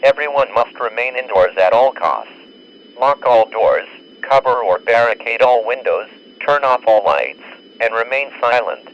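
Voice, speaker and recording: A man voicing a formal, measured emergency alert announcer in a grim, official broadcast. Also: lowish voice, close to an everyday voice. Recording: muffled, noisy emergency radio broadcast.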